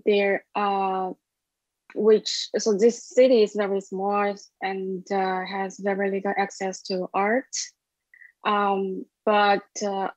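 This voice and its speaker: A woman in her thirties from China, a documentary filmmaker answering interview questions in a halting way with many fillers and restarts, recorded over a webcam with earbuds in a small room. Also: medium pitch, darkish tone, no echo. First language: Chinese. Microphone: wireless earbuds at a webcam. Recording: dull, dry webcam audio.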